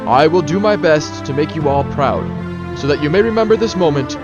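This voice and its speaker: A man as a high, cheerful eccentric village leader. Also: animated, a little fast, lo-fi sound, over music, natural voice. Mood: proud, rousing. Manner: grand, sunny, theatrical. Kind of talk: fan radio drama acting.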